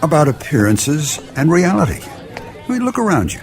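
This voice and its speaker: An actor playing a kindly, wise shop owner who talks in a gentle, reasoning way, in a family radio drama. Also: male voice, a little high, very animated, moderate pace, vocal fry, soft background music.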